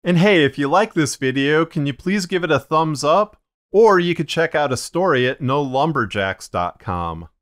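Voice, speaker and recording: A man with a slightly muddied voice of limited range, narrating an explanatory video in a measured, deliberate, plain-spoken way as a podcast host. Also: fairly high pitch, expressive, a bit bright.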